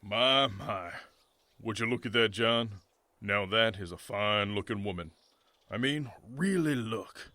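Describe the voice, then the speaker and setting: A man's voice: lowish voice, a little slow, pushed and bright, no echo. A man playing a hearty, devoted cowboy husband and father in a comic western audio drama. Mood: admiring, proud.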